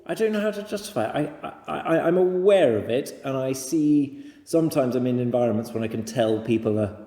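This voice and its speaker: Echoey, mellow middle-aged English man chatting. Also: mid-range voice, expressive, brisk. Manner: rambling, self-deprecating, talkative. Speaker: podcast guest. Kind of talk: casual, anecdotal interview chat. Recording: echoey, reverberant room.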